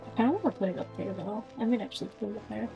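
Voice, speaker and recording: A woman's voice: mid-range voice, very fast, vocal fry, lo-fi sound. Casual woman streamer over game music. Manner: informal, thinking aloud to herself. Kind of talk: casual gameplay commentary.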